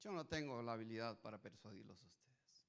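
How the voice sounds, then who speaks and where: A middle-aged pastor from Guatemala preaching as a guest, hesitant with restarts at first, then building to emphatic preaching. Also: male voice, on the low side, lively intonation, brisk, creaky. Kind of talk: sermon.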